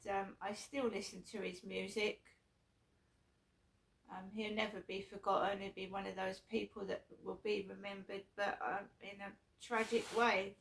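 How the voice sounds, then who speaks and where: A middle-aged woman with a sore throat, talking casually to camera in a rambling, halting way full of ums and restarts. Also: medium pitch, gravelly, fairly bright tone, low-quality recording. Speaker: vlogger.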